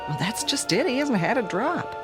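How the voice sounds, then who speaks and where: An actress playing a young, unflappable flight attendant in a radio drama, chatting in a playful, gossipy way. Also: female voice, a little high, very animated, moderate pace, loud music behind the voice. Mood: chatty. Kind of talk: vintage-style radio drama acting.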